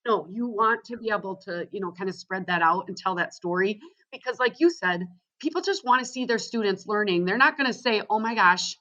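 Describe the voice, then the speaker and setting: A woman with a Wisconsin accent talks with energy and enthusiasm as a podcast guest, her voice at a middle pitch. She often checks in with a quick "right?". The sound is a bit dull and lo-fi. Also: bright, forceful tone. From Wisconsin, USA. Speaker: guest, school social media trainer. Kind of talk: podcast interview.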